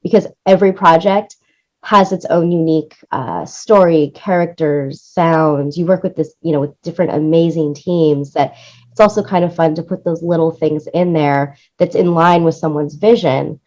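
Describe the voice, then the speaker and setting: A woman's voice: deep voice, steady tone, moderate pace, darkish tone, lo-fi sound. A woman being interviewed about her work in production, talking in an enthusiastic, rambling way. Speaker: guest, music supervisor and producer.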